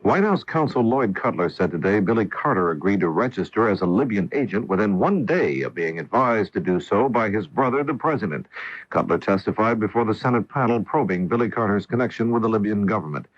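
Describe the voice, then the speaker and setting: A man's voice: fairly low pitch, expressive, fairly quick, no echo, lo-fi sound. An American man reading scripted news as a radio anchor, on an old broadcast recording.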